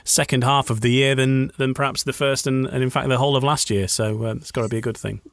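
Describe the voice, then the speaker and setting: A man's voice: mid-range voice, flat delivery, fast, gravelly, dry recording. Easygoing male radio host, dry sound. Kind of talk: casual radio interview chat.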